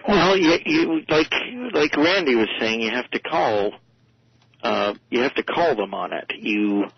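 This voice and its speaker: A man speaking informally as a guest commentator in a radio interview, halting and often rewording himself. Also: medium pitch, lively intonation, vocal fry, breathy edge, highs cut off.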